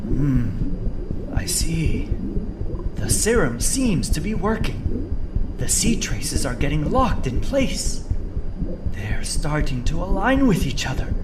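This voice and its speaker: Worried, analytical science officer for a game: unhurried but lively pitch, clear engine noise behind. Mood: focused, intrigued. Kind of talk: dramatic video game cutscene acting.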